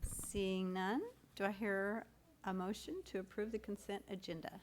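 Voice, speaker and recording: A woman chairing a school board meeting, reading formal procedure in a measured, official manner. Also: mid-range voice, level tone, a little hiss or hum.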